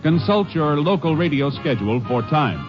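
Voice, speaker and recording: A man's voice: a little high, animated, normal speed. A man announcing in formal, polished, scripted mid-century network radio style. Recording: muffled old broadcast, loud music.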